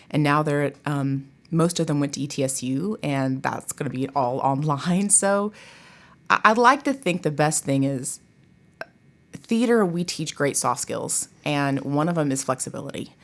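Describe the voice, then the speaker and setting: Casting: woman, low register, expressive and emphatic conversational delivery, college drama professor type on a podcast. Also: moderate pace. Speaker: college theatre director and professor, podcast guest. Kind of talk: casual interview chat.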